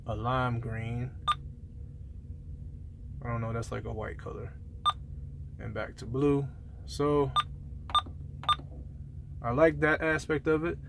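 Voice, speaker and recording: A man's voice: mid-range voice, noisy background. A man talking casually and colloquially, like a truck driver giving a rambling, conversational gear review in a vlog.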